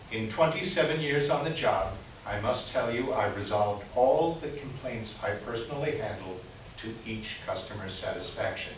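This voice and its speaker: Man playing a pompous, animated official in an echoey hall. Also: fairly low pitch, lightly acted. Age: middle-aged. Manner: formal, pompous, pointedly condescending. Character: smug, officious male store complaints officer replying by letter. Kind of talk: staged reader's theater letter reading. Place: indoor stage presentation before an audience. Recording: echoey hall from far off, dull, faint hiss.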